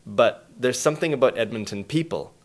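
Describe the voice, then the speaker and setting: A man's voice: mid-range voice, expressive, a little fast. A man answering as an interview guest in casual, reflective talk.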